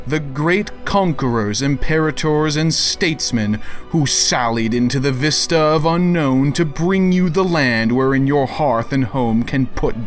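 A man giving a pompous, theatrical dramatic reading of a written rant, slowly and deliberately. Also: mid-range voice. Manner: pompous, slow, theatrical delivery.

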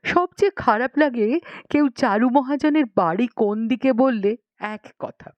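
A woman's voice: a little high, animated, measured pace, no echo, lo-fi sound. A woman narrating a story expressively, with a dramatic storytelling manner. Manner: dramatic storytelling with acted dialogue. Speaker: audiobook narrator.

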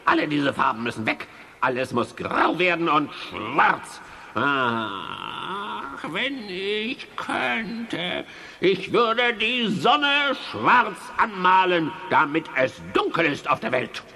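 A spiteful villain dwarf from a children's radio play, vain and grumpy. The voice is high and gravelly and swoops hugely as he exclaims and scolds in a raspy, theatrical way. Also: very animated, slow, pushed and bright, a little hiss or hum, exaggerated voice. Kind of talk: children's audio drama acting.

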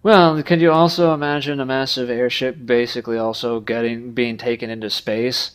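A man's voice: medium pitch, fairly even intonation, moderate pace, clear, resonant tone. A young man talking casually during gameplay, with an easygoing, dryly teasing manner. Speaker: gaming video host.

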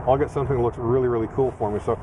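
A male logic instructor explaining proof steps in a casual, animated way. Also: medium pitch, very fast, far from the mic, background noise.

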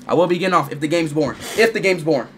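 A young man livestreaming a game, excitable and loud, talking straight to his chat and repeating himself. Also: on the high side, fast, slight background noise. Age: young adult. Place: bedroom streaming setup, indoors.

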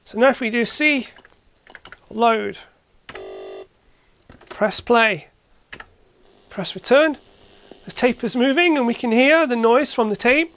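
A woman narrating a hands-on repair demonstration casually, thinking aloud in short, halting phrases. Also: fairly high pitch, a bit bright, a little hiss or hum. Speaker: hobbyist repair video maker. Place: indoor workshop at a workbench.

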